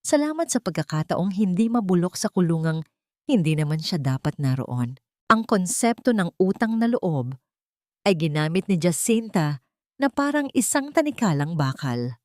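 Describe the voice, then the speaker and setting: Female audiobook narrator, lowish pitch, dramatic scripted read, dry studio-like sound. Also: a little mellow, dry recording.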